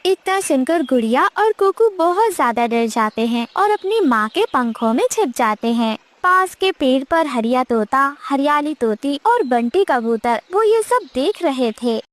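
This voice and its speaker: A woman's voice: sits high, sing-song, unhurried, hardly any pauses. A woman reading scripted narration for a children's cartoon story.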